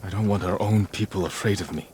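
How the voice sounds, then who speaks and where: A man playing a grim, resolute samurai in a video game, speaking in a measured and stern way. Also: on the low side, fairly even intonation, a little mellow, lightly acted. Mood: grim resolve. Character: grim, resolute samurai avenger sworn to duty. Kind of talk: dramatic video game acting. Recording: noisy outdoor game ambience behind voice.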